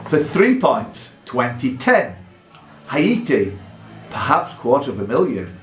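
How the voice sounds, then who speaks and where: A man working as a quiz master, reading buzzer questions aloud in a deliberate way and announcing point values between clues. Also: medium pitch, big pitch swings, soft background music. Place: pub room with contestants. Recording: echoey room with faint background noise.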